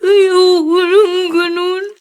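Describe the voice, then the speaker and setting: A sad young Filipino boy in a radio-style drama. The voice is high and childlike. Words come slowly, with a plaintive, wounded air. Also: level tone, full character voice. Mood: sad, tearful.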